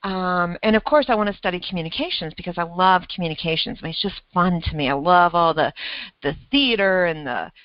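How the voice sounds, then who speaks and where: Animated woman telling a story on a call. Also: fairly low pitch, a little fast, no room sound, muffled recording. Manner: animated storyteller, laughs often, acts out her own thoughts. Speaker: weight loss coach and host. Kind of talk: casual conversation telling a story.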